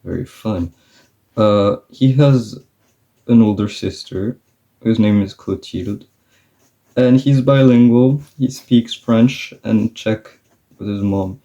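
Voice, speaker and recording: Young guy rambling about his characters while drawing, really dark mellow voice, lowish. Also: slow pace, muffled recording. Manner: rambling, chatty, with fillers and laughs. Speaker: vlogger. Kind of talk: casual chat while drawing.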